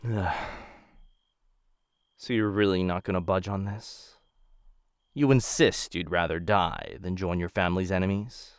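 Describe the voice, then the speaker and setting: A man playing a smitten, dangerous assassin of a noble house in an intimate boyfriend roleplay, teasing and coaxing with theatrical pauses. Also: sits low, dry recording, studio quality, exaggerated voice. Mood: reluctant, smitten.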